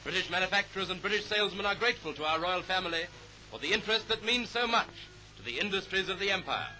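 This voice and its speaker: A man's voice: high voice, lively intonation, fast talker, sharp tone, low-quality recording. A man giving formal, clipped 1930s newsreel narration in a declamatory, upbeat manner, on an old newsreel soundtrack. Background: orchestral music.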